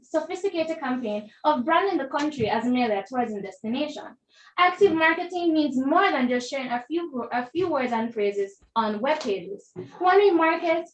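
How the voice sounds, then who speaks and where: A young Jamaican woman giving a formal, emphatic debate speech, rhetorically addressing the judges. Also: sits high. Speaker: debater, deputy prime minister speaker. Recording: echoey room.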